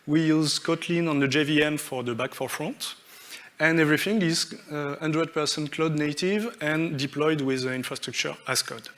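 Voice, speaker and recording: A man speaks from a conference stage, his voice sitting a little on the high side. He moves through his points one by one, stopping often on fillers and restarting his sentences. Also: steady tone, fairly bright tone. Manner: halting, many fillers and restarts, listing points. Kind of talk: tech conference talk.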